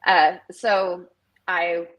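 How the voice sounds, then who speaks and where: A woman's voice: lowish voice, level tone, drawn-out words, highs cut off. A middle-aged woman from northern Minnesota, a festival director chatting as a guest over a video call, rambling with many fillers. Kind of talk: casual interview chat.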